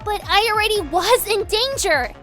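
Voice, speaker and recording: A woman's voice: very high voice, lively intonation, normal speed, bright, forceful tone, soft background music, heavily acted. A woman playing a naive, chatty little lady in a game fandub. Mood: flustered.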